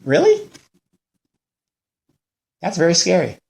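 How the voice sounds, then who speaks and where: A man's voice: a little high, huge pitch swings, slow pace, vocal fry, darkish tone. A man in his forties hosting a local news show, ranting in a casual way and firing off rhetorical questions. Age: 40s. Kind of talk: casual political commentary.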